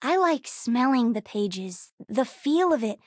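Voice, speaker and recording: A woman voicing a bright, curious, bookish young girl in a space video game, chatty and earnest in a childlike way. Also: on the high side, very animated, takes it slowly, no room sound, studio quality, full character voice. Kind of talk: video game character dialogue.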